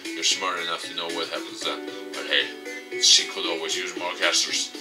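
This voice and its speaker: A low-voiced, arrogant young male pro gamer from a visual novel, acted out by a man reading along. He talks down to everyone with taunting contempt, recorded off-mic over clear music. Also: steady tone, moderate pace, far from the mic, natural voice. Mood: cold contempt. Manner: taunting, condescending. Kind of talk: visual novel read-aloud.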